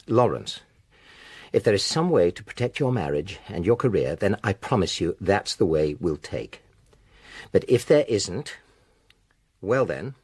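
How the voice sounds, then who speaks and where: A British actor playing an anxious middle-aged father in a radio drama, earnest and hesitant, with a husky voice. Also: low voice, big pitch swings, unhurried, pauses often, darkish tone, exaggerated voice. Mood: weary, worried. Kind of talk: naturalistic radio drama acting.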